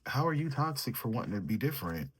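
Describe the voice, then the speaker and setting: A man with a mellow, sleepy way of talking, reading tarot cards and thinking aloud as he draws them. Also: mid-range voice, sing-song, quick pace, a little hiss or hum. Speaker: tarot reader. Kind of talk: solo tarot reading, casual chat.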